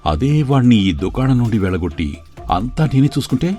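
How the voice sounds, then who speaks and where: A man's voice: medium pitch, animated, quick pace, warm tone, faint music behind, lightly acted. Man acting a greedy, arrogant shopkeeper brother. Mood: scheming, worried. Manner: boastful, dismissive. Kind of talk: dramatized moral story acting.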